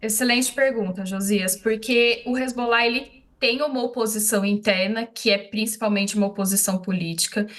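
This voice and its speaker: A woman's voice: medium pitch, fairly even intonation, brisk, hardly any pauses, a bit bright. A woman with a Brazilian accent giving spontaneous analytical commentary as an invited expert, explaining things with frequent short check-in tags. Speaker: expert commentator interviewed on a news show.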